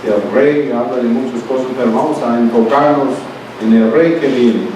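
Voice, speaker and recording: Halting preacher in an echoey church. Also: male voice, mid-range voice. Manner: halting, self-correcting, speaks Spanish as a second language. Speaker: pastor. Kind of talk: sermon with scripture reading and prayer. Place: church during a morning service. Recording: echoey room, mic far off, steady background noise.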